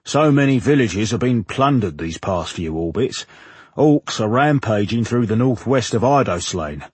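Man voicing an uneasy, husky village publican. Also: lowish voice, lively intonation, no room sound. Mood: worried, placating. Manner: hurried reassurance. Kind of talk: audiobook character dialogue.

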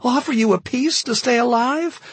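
A man's voice: fairly high pitch, big pitch swings, moderate pace, slightly rough, dry recording, voice pushed up, full character voice. A man voicing a hungry refugee woman who pleads with a soldier and nears hysteria. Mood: hysterical. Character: desperate, hungry, sobbing young woman refugee.

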